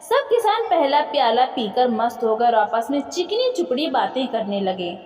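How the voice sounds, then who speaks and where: A woman's voice: fairly high pitch, sing-song, normal speed, darkish tone. A woman narrating a moral folk tale in formal literary phrasing, with dramatic storytelling delivery. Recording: echoey room with long reverb.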